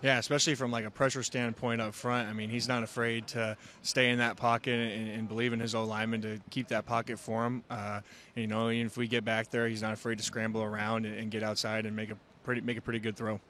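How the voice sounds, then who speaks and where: A man's voice: on the low side, level tone, quick pace, sharp tone, a little hiss or hum. A young man, a college football player, giving friendly, casual interview answers full of fillers. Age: young adult. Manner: friendly, fills with "you know" and "I mean".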